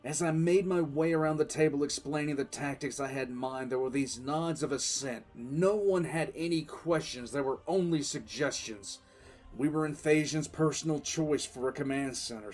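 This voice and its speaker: Casting: male actor as weary but resolute sci-fi commissar, reflective audiodrama storyteller, deliberate and emphatic, music underneath. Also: mid-range voice, a little mellow, close to an everyday voice. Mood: measured recounting. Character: weary, resolute military commissar recounting his past war. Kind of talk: dramatic first-person audiodrama narration.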